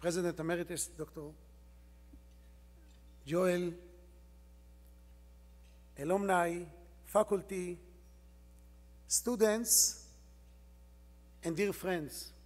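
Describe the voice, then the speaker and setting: A man with an Israeli accent, a native Hebrew speaker, gives a formal acceptance speech in slow, halting English, pressing hard on single words. His voice is fairly high. The long reverb of a large banquet hall surrounds him. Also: steady tone. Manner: slow, emphatic, halting English with bursts of loud emphasis. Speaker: rabbi receiving an award. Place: large hotel ballroom.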